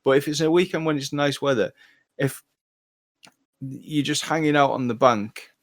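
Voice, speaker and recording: A man with an ordinary mid-range voice, co-hosting a podcast. He talks in a relaxed, joking way, swearing casually as he goes. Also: medium pitch, moderate pace. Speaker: podcast co-host. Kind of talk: casual chat.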